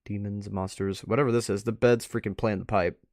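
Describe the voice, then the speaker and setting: Low-pitched man rambling casually, dry sound. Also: fairly even intonation, fairly quick, darkish tone, dry recording. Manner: rambling, musing aloud. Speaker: host of a live art review stream. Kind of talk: casual off-the-cuff commentary.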